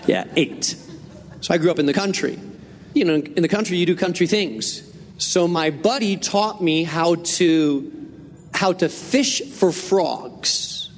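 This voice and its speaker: Pastor guy from northern spain preaching, pretty high voice, lots of hall echo, earnest and chatty. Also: a little slow. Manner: earnest, conversational preaching with repetition. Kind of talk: sermon.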